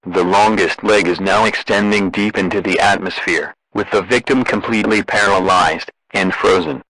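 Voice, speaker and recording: Male announcer, lowish pitch, impersonal scripted emergency bulletin read, very dry recording with no room sound. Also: steady tone, moderate pace, sharp tone, no echo, low-quality recording. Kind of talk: scripted emergency broadcast narration.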